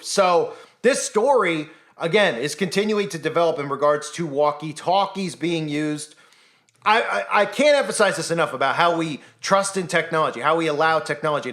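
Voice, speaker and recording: Insistent man with a raised pitch. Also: fairly bright tone. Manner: insistent, rhetorical, acts out imagined voices. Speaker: commentary channel host. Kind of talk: conspiracy commentary monologue.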